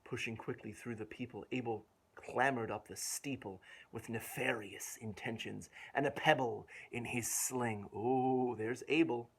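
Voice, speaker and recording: A man reading a rhyming picture book aloud to young children in a playful, expressive way with dramatic emphasis. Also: mid-range voice, measured pace, gravelly, hardly any pauses, slightly rough, poor audio. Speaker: children's storyteller.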